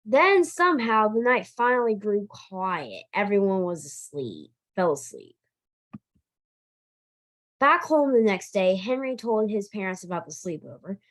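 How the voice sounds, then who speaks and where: A woman reads a picture book aloud for young children at an unhurried pace. Her pitch rises and falls freely, with emphatic, expressive phrasing and an occasional stumble over a word. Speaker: library director reading a picture book aloud. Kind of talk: animated children's storytime read-aloud.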